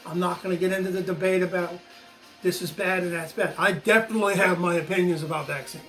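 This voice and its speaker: A man's voice: sits high, steady tone, quick pace, fairly bright tone, soft background music. An American man in his sixties giving an opinionated explanatory monologue to viewers, emphatic and repeating phrases for stress. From United States. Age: 60s. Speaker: video channel host, former teacher.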